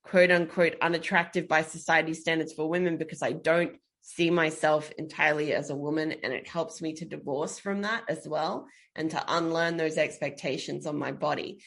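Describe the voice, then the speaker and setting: Podcast guest, a woman with a deep voice, earnest and reflective, explains at length. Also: steady tone, moderate pace, vocal fry, hardly any pauses, a bit bright. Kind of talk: casual podcast chat.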